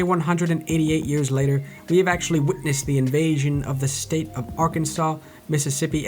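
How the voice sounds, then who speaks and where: A man's voice: a little high, darkish tone. A man from New Jersey reading an old political speech aloud and breaking into sarcastic, profane commentary. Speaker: YouTube history commentator.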